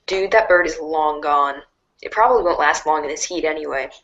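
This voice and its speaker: A woman's voice: deep voice, fairly quick, fairly bright tone, highs cut off. A woman narrator reading a dramatic storybook-style script.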